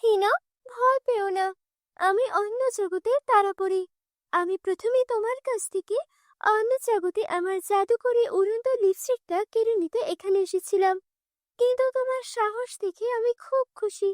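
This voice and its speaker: A woman's voice: very high-pitched, normal speed, no room sound, studio quality, voice pushed up. A woman voicing a kind star fairy from another world in a cartoon fairy tale. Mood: gentle, approving. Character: kind otherworldly star fairy rewarding a brave girl.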